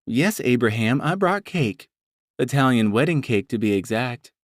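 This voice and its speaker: A man's voice: medium pitch, animated, moderate pace, dry recording, pitched above the performer's own voice, close to an everyday voice. Doting mama, fussy and scolding; male reader voicing her, dry close sound. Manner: fussing, scolding, affectionate. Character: warm doting middle-aged mother.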